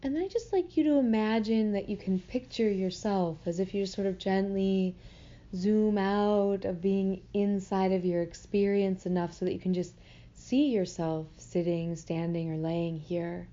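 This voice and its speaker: A woman leading a guided meditation in a soothing, gentle manner, unhurried with long pauses, on slightly muffled audio. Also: medium pitch, fairly even intonation.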